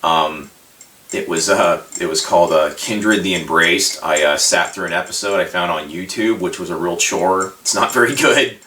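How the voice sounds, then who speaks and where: A man giving a casual, unscripted retrospective as a video host, talking in a halting, rambling, tentative way. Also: sits low, bright, forceful tone. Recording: echoey room, distant microphone.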